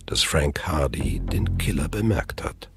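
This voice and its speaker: An actor as the grave narrator of a thriller radio play, setting scenes in a measured way. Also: male voice, extremely low pitch, lively intonation, music in the background. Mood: measured, suspenseful.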